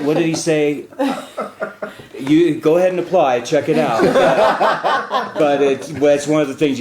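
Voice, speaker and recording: A man's voice: mid-range voice, a little mellow, reverberant. A man hosting a casual talk show, explaining things in a chatty way with frequent fillers. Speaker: radio show host and diplomat.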